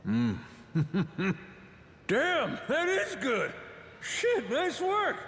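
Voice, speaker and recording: A brash, big-hearted pirate captain from a video game. His blunt, casual voice swings wildly in pitch at an unhurried pace, in a big echoing space. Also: wildly swooping pitch, fairly bright tone, exaggerated voice. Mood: delighted. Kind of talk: scripted video game cutscene acting. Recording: echoey cave-like hall with faint music.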